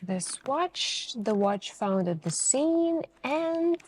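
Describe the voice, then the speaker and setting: A woman doing an ASMR roleplay as a suspicious female detective, questioning in a deliberate, pointed way with many pauses. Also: sits high, very animated, a little mellow. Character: calm, suspicious, probing female police detective. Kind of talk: ASMR interrogation roleplay, slow deliberate delivery.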